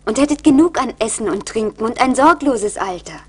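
An actress playing a kind, generous young wife in a radio play, gentle and concerned. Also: female voice, on the high side, animated, brisk, breathy edge. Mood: caring, concerned. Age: young adult.

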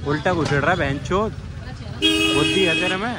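A man's voice: fairly high pitch, normal speed. A man vlogging outdoors on a busy road, casual and blunt, swearing freely as he talks. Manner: blunt, swears freely, loud street banter. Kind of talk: casual vlog chat while out on the road. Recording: loud traffic noise outdoors.

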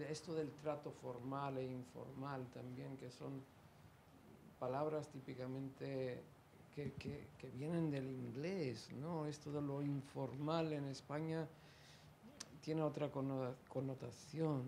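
A man's voice: medium pitch, creaky, dark tone, a little hiss or hum. A man from interviewing a guest, hesitant with many fillers and tag questions. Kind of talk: conversational interview.

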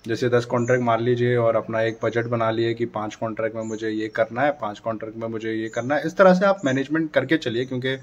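A man's voice: mid-range voice, fairly even intonation, quick pace, few pauses, lo-fi sound. A man in his thirties talking casually to a phone camera indoors, gesturing a lot as he gives advice to his viewers. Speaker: vlogger. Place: dim room indoors by a dark door. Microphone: phone camera at arm's length.

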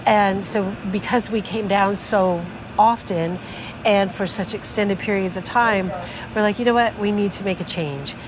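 A middle-aged woman chats casually outdoors, filling in details and agreeing readily. A camera a few meters away picks her up on a marina dock. Steady outdoor noise sits under her voice. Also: medium pitch, a little slow. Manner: agreeable, chimes in with affirmations. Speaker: vlog co-host. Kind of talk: casual chat. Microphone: fixed camera at a few meters.

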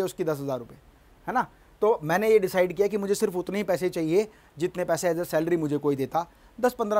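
Business coach, middle-aged man, slightly high voice, emphatic studio delivery on a lapel mic. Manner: emphatic, gestures at a screen, asks "है ना?". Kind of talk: motivational business lesson. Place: studio in front of a large screen.

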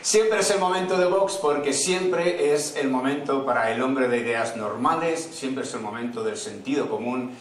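A man's voice: mid-range voice, slight background noise. Man hosting a rally in an echoey hall. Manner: quick, joking asides. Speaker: event host. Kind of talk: emcee reading messages at a rally. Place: large indoor event hall. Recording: echoey hall, far from mic. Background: audience laughter.

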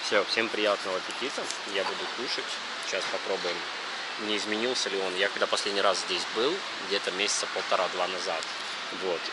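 A man in his thirties vlogging casually while he eats, talking in an informal, halting way with plenty of fillers. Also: sits low, fairly even intonation. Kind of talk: casual chat while eating. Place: large open restaurant, indoors. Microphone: action camera on the table at close range. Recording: loud restaurant ambience noise.

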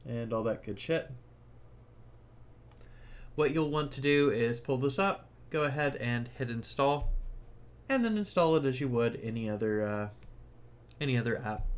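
A man narrating a casual tech tutorial, explaining things informally step by step. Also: mid-range voice.

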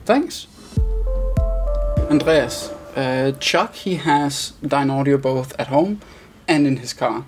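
A man's voice: medium pitch, animated, slow pace, faint music behind. A man in his thirties hosting a casual question-and-answer interview. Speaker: host, interviewer.

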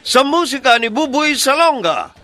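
Very high, bright Filipino male radio announcer. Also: sing-song, moderate pace. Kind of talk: scripted radio announcing with credits. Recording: music under the voice. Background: music.

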